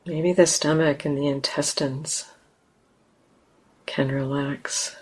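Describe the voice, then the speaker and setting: A woman teaching a guided meditation in a gentle, unhurried way with long pauses. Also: sits low, fairly even intonation, breathy edge, poor audio.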